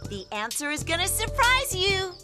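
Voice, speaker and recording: A high, wise cartoon salamander. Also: female voice, moderate pace. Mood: knowing. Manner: calm, knowing, reassuring. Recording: cartoon voice with music behind.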